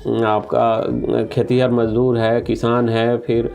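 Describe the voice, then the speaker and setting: A man's voice: mid-range voice, completely flat delivery, slow, creaky, a little mellow. A man answers as an official in an interview, explaining point by point with some English terms mixed in. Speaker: government official, interview guest.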